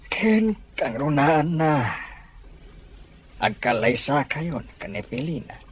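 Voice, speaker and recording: A man playing an aging, insistent grandfather and family patriarch in an audio drama, with halting, emphatic speech. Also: a little high, big pitch swings, fairly bright tone, breathy edge, full character voice. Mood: solemn. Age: older. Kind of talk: Ilocano audio drama dialogue.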